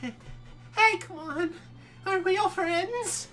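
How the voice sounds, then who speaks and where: A man voicing young female cartoon ponies in a shrill, cracking falsetto with an exaggerated, halting girlish delivery. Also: sharp tone, exaggerated voice. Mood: anxious pleading.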